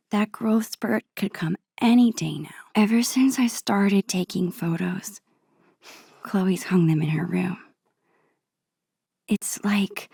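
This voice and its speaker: A woman playing a soft-spoken, introspective teenage girl in a video game, wistful and hesitant, with a whispery voice. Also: mid-range voice, creaky, husky, no room sound, studio quality, full character voice. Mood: wry, amused, then nostalgic. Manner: hesitant, gentle, musing to herself. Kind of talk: naturalistic video game dialogue and inner monologue.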